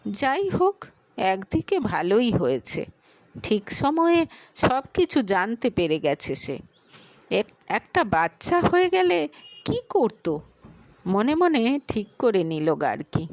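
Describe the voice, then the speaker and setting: Woman reading a story aloud, slow and dramatic, voice swings a lot, dry close sound. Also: mid-range voice, no echo. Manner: expressive, dramatic. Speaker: story narrator. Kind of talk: scripted audio story narration.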